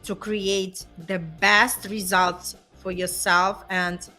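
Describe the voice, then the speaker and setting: A woman's voice: mid-range voice, flat delivery, takes it slowly, pushed and bright, faint music behind. A woman in casual conversation, speaking earnestly and encouragingly, often checking in with "right?". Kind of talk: casual motivational chat.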